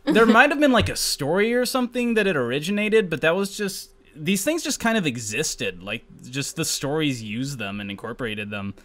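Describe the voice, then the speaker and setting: A man hosting a podcast in an animated, self-amused way, reading a script and chatting casually. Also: a little high, moderate pace. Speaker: podcast host and narrator. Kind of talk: casual comedic reading and chat.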